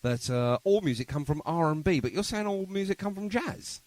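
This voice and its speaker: A man's voice: a little high, lively intonation, brisk, a little mellow, dry recording. A man hosting a podcast in casual interview chat, with a rambling, hesitant way of phrasing his questions. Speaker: podcast host.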